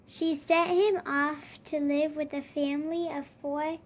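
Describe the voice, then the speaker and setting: High-pitched child reading a storybook aloud. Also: flat delivery, unhurried. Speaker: storybook reader.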